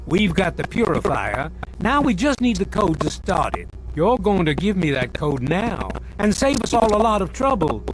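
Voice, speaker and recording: A stern, impatient colonel from a video game, commanding and full of threat. His voice sits high and moves with great animation. Music plays clearly behind him. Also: sing-song, normal speed, low-quality recording. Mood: threatening, impatient. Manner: commanding, threatening, clipped orders. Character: stern, impatient, menacing male military colonel. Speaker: military colonel. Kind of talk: video game cutscene acting.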